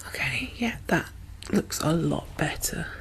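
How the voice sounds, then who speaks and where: Female, soft whisper, creaky edge, gentle and reassuring, ASMR caregiver roleplay. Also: fairly low pitch, lively intonation, a little slow. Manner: gentle, murmured, reassuring, soothing.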